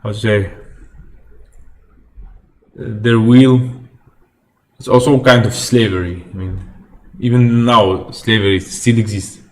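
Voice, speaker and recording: Slow, halting Algerian man on a stream. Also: fairly low pitch, steady tone. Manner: halting non-native English, searching for words. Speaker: livestream host. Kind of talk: casual livestream chat with viewers.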